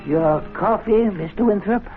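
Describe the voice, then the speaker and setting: An actor playing a sly, polite and unruffled club servant with a mischievous streak, in a 1970s radio drama. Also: male voice, sits high, normal speed, loud music behind the voice, lightly acted. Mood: smooth, deferential. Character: sly, deferential club servant with quiet grudge against snobs. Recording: muffled, noisy vintage radio recording.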